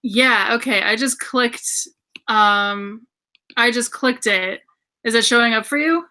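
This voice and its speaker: Woman, upbeat livestream co-host, bright and slightly high voice, giggly, home computer mic. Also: slow pace. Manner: upbeat, giggly, says "awesome" a lot. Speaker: book club livestream co-host. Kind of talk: casual chat.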